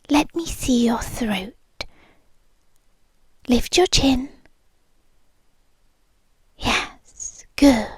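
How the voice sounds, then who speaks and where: A young British woman voicing a calm, controlling rich girlfriend in an ASMR roleplay, speaking slowly and softly and often whispering close to the mic. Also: on the high side, slightly rough, dry recording, full character voice. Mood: hushed. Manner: slow, soft-spoken, often whispering close.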